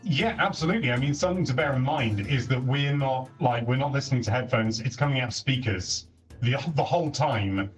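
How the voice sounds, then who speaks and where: A man's voice: medium pitch, fairly quick. A man chatting casually over a voice call at home, picked up by a console's built-in microphone from across the room; his voice carries and he often corrects himself mid-sentence. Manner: chatty, self-correcting, says "don't get me wrong" often. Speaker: co-host testing a console feature. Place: home, moving between rooms. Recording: distant voice over game chat with music.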